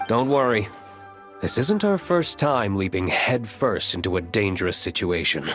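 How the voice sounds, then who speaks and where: A man playing a steady, confident young male traveler in a video game. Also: lowish voice, normal speed. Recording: music behind the voice.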